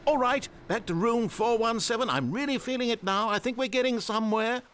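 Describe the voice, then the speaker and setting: Pompous game narrator, swooping pitch. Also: male voice, animated, fairly quick, fairly bright tone, clean tone. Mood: encouraged, coaxing. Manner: pompous, theatrical, wordy. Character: pompous, fussy male narrator goading the player. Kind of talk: scripted video game narration.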